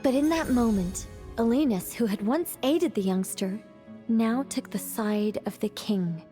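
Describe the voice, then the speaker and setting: Woman acting a composed, high-voiced young storyteller woman. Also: a little slow, warm tone, faint music behind, lightly acted. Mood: dramatic storytelling. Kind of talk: scripted storytelling in a game cutscene.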